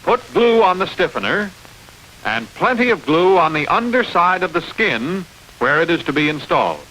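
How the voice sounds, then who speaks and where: Male narrator, instructional script, lively intonation, fairly high pitch, step-by-step delivery, old film soundtrack. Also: moderate pace, a little hiss or hum, rough recording.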